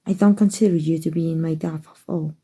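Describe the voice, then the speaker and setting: An ageless vampire lady of high standing, acted by a woman for an ASMR roleplay. Her voice sits slightly low and is extremely soft-edged and dark. She speaks with gentle, unhurried composure and a fond, genteel manner. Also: fairly even intonation, husky. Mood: tender, doting. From Mexico. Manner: gentle, genteel, measured, affectionate. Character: kind, composed, centuries-old female vampire aristocrat.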